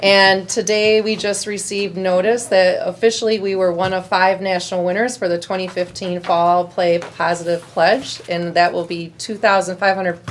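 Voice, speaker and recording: A woman's voice: on the low side, flat delivery, moderate pace, few pauses, slight background noise. A woman giving an upbeat, proud report at a school board meeting. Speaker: school district administrator.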